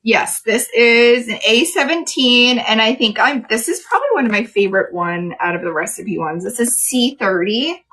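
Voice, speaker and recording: A woman's voice: on the high side, lively intonation, normal speed, pushed and bright, a little hiss or hum. A woman in her late thirties hosting a live craft stream, chatting casually with viewers and explaining each step as she works. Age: 30s. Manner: chatty, laughs often, explains steps.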